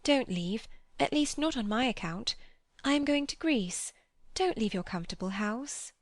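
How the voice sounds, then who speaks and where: A woman's voice: fairly high pitch, expressive, normal speed, no echo, studio quality, pitched above the performer's own voice, close to an everyday voice. A woman voicing a proper young woman who sounds flustered and agitated. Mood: apologetic. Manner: agitated, rising.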